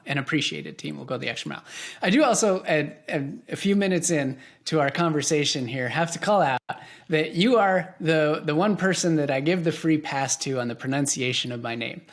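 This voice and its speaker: A man with a fairly high voice hosts a conversational podcast. He is enthusiastic and affirming as he responds to his guest and sets up questions. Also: expressive, moderate pace. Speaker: podcast host, interviewer.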